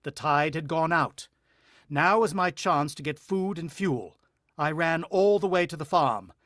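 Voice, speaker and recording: Radio drama lead: man to play a desperate husband narrating a siege, slightly high-pitched, grave and intense delivery. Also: unhurried, a bit bright, dry recording, studio quality. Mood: grim, haunted. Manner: grave, clipped, intense storytelling.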